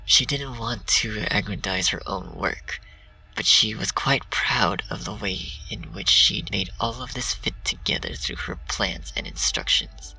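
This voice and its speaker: A man narrates dark fan fiction in a hushed, half-whispered voice. His tone is bright with a gravelly rasp. He sounds a bit distant from the mic, with faint music underneath. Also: on the low side, unhurried. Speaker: story reader.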